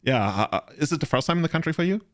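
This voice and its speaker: A man's voice: a little high, expressive, racing. A man hosting a podcast, chatting casually in a talkative, bantering way. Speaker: podcast host. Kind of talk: casual chat.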